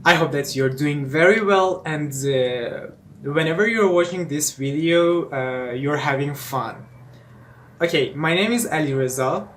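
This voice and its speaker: A man in his twenties from eastern Iran with a Persian accent, talking casually about himself in a personal vlog, pausing often with fillers and restarts. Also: on the high side, slight background noise. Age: 20s. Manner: hesitant, many fillers and restarts. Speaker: English teacher and student.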